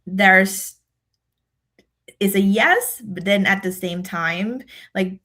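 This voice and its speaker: A woman's voice: medium pitch, slow pace, bright, forceful tone. A woman with a Thai accent, a guest on an interview podcast, speaking in an earnest, reflective way and laughing often. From Thailand. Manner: earnest and reflective, laughs often, repeats words while thinking. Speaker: guest, decision scientist and speaker.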